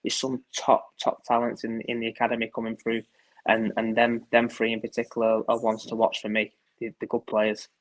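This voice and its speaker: A man's voice: mid-range voice, completely flat delivery, fairly quick, rough recording. A man talking football as a guest, hesitant and often repeating words. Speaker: guest pundit. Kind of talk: casual podcast chat.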